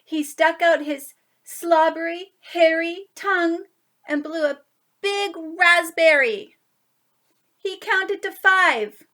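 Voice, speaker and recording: High-pitched woman reading a children's story. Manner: animated, drawn-out emphasis, asides to listeners. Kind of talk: expressive picture-book read-aloud for young children.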